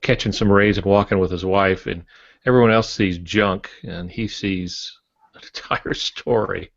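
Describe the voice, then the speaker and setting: An older man co-hosting a podcast, explaining his thoughts reflectively and haltingly in casual discussion. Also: deep voice, fairly even intonation, gravelly, no echo, dull audio. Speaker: podcast co-host.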